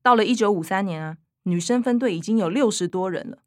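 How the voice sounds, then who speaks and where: An actress with a Taiwanese Mandarin accent voicing a matter-of-fact, bookish young daughter in a radio drama. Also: female voice, medium pitch, quick pace, darkish tone, dry recording, studio quality, natural voice.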